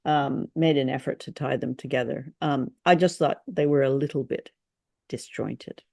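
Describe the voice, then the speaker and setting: Woman podcast host, gravelly low-for-female creak, close dry sound, measured, hesitant, conversational. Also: steady tone, warm tone, no echo. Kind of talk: casual chat reviewing an old radio drama.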